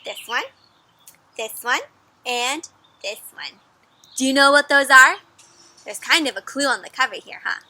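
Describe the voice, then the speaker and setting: A woman giving an interactive storybook read-aloud for children, animated and encouraging, often asking her young listeners questions. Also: sits high, a little slow, long pauses, dry recording.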